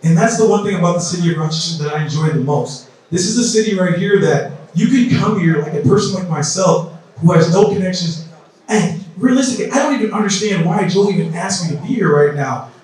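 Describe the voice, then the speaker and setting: High-voiced man hyping an echoey crowd. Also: expressive, a little fast, darkish tone. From South Sudan. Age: 30s. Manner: loose, repetitive crowd-rousing talk. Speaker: event emcee. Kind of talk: casual speech at a campaign event. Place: large indoor event hall. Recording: echoey hall, mic from far off.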